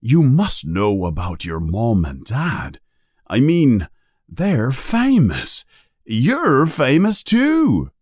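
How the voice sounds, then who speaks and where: A man voicing a booming giant gamekeeper in a storybook, hot-tempered yet kindly, with an emphatic, exclaiming way of talking. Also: huge pitch swings, extremely slow, a little mellow, dry recording, clean studio sound, heavily acted. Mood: sad, disbelieving.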